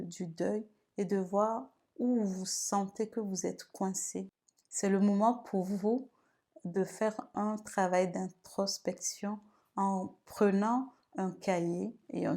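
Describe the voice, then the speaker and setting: A woman's voice: mid-range voice, vocal fry, warm tone. A woman giving reflective advice as a video host, hesitating and repeating phrases as she goes. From Togo. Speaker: video host and women's wellbeing guide. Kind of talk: advice vlog talk.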